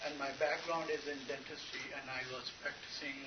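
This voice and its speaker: A man's voice: medium pitch, fairly even intonation, fairly quick. Hesitant man from Pakistan asking a question. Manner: hesitant, many fillers. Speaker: audience member asking a question. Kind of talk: audience question. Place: conference room audience. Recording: distant, muffled room recording with hiss.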